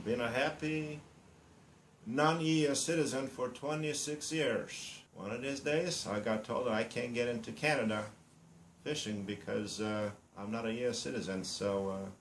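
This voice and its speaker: A man in his fifties from Romania with a Romanian accent, introducing himself bluntly and boastfully in broken English with many pauses and fillers. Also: mid-range voice, steady tone, roomy sound, slight background noise. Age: 50s. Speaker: reality show applicant. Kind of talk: casual self-introduction to camera.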